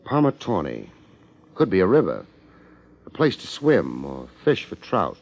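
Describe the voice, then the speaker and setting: An American actor playing a wry, hard-boiled private detective in a 1950s radio drama, terse and streetwise. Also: male voice, low voice, huge pitch swings, a little slow, vocal fry, a little mellow, heavily acted. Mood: terse, probing. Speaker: lead actor and narrator. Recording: muffled 1950s radio recording, faint music.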